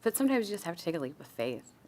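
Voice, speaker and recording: A woman's voice: on the low side, sing-song, racing, darkish tone, a little hiss or hum. A woman talking casually and a little hesitantly as a panelist, in a conversational way. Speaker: panelist, small business owner.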